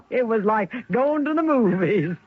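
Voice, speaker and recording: A wealthy older widow with a high, very animated voice. She is theatrical, teasing and shrewd, with folksy exclamations. It comes over as a tinny, slightly noisy radio drama recording. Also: a little slow. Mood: knowing, confident. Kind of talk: 1970s radio drama acting.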